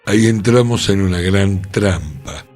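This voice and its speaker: A man co-hosting a cultural radio program, reflecting aloud in a slow, musing way. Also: low voice, a little mellow, faint music behind. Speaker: radio co-host. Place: radio studio.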